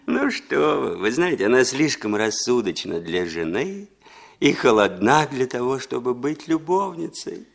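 A man's voice: medium pitch, sing-song, measured pace, creaky. An actor playing a jovial, cynical male intelligence agent in a 1960s Soviet radio play, talking in a casual, bantering manner. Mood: amused banter.

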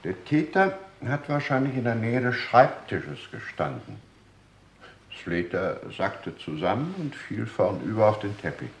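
An actor playing a matter-of-fact police doctor in a radio crime drama, clinical and measured. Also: male voice, fairly low pitch, big pitch swings. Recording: roomy radio drama recording.

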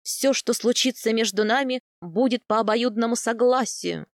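A woman's voice: on the high side, normal speed, no room sound, clean studio sound, close to an everyday voice. A woman voicing a stubborn, protective young warrior man who talks bluntly in a fantasy audiobook. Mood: earnest.

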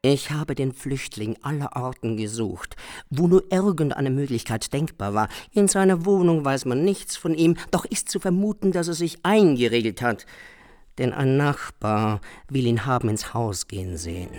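A man's voice: medium pitch, expressive, normal speed, darkish tone, no room sound, clean studio sound, natural voice. An actor playing an earnest male messenger in a radio drama, reporting formally and matter-of-factly.

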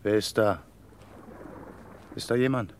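An actor playing a reflective vagabond in a German radio play, speaking in a measured, contemplative way. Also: male voice, on the low side, a little hiss or hum. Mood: surprised. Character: wandering vagabond Maurizio, reflective, warm to an old friend.

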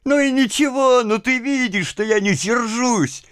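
Agitated, high-voiced, exasperated master. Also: male voice, unhurried, a bit bright, clean tone, no room sound, clean studio sound. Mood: coaxing, pleading. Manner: wheedling, repetitive, spluttering insults. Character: agitated, exasperated middle-class clerk master, pleading then raging. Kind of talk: dramatised audiobook of a classic novel.

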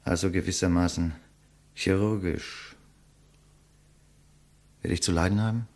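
A man's voice: sits low, steady tone, a little slow, darkish tone. An actor playing a proud, defiant writer facing his executioner, eloquent and sardonic, in the style of a 1950s German radio play. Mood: scornful, composed.

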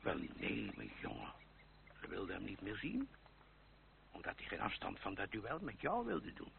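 An actor playing a gruff, fond old uncle in a radio play, halting and gently scolding, with a creaky old voice. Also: male voice, on the low side, huge pitch swings, exaggerated voice. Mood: probing. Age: older. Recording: noisy, muffled old radio recording.

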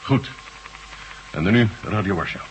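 A man playing a calm Russian marshal in a radio play, measured and explanatory. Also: low voice, background noise. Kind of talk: 1980s radio play acting.

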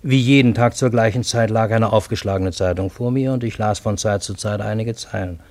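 An actor narrating a radio drama as a troubled Parisian man, with a husky voice and measured, literary, dramatic delivery. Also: on the low side, a little mellow, no echo, close to an everyday voice. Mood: calm, resigned. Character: troubled Parisian man recounting his fantastic time-loop adventure.

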